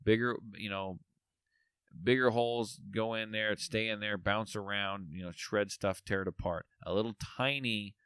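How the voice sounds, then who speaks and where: A man co-hosting a casual podcast, tired, with a voice going a bit raspy, rambling with lots of fillers. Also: fairly low pitch, steady tone, normal speed, fairly bright tone, dry recording, clean studio sound. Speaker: podcast co-host.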